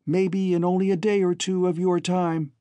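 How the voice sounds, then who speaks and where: A man's voice: sits high, flat delivery, moderate pace, mellow, clear, resonant tone, dry recording, pitched above the performer's own voice, close to an everyday voice. A man voicing an earnest, devoted young man in an emotional, pleading audiobook dialogue. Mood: urgent. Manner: choked, emotional, pleading delivery. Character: earnest, devoted young man bidding his family farewell.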